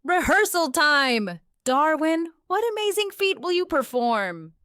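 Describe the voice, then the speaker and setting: A woman's voice: high voice, sing-song, a little slow, pushed and bright, no room sound, studio quality, pitched above the performer's own voice, full character voice. A woman voicing a bossy, theatrical female cat in a children's story, with grand, dramatic exclamations. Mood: excited, grand.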